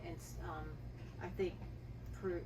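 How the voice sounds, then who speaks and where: A woman's voice: on the low side, level tone, slow pace, muffled recording. A woman talking in an easygoing, conversational way as co-host of a casual travel vlog. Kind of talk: casual unscripted chat with partner. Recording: distant mic, clearly noisy background.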